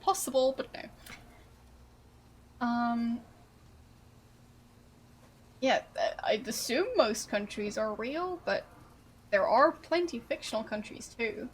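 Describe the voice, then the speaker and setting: A woman streamer chatting casually with her viewers, relaxed and amused as she answers their guesses. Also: on the high side, takes it slowly, long pauses, slightly rough, rough recording.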